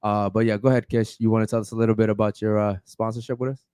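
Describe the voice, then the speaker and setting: Relaxed male podcast co-host, smooth dry voice. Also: fairly low pitch, rapid-fire, dry recording. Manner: loose, easygoing, lots of "man" and "uh". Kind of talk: casual podcast chat, reading shout-outs.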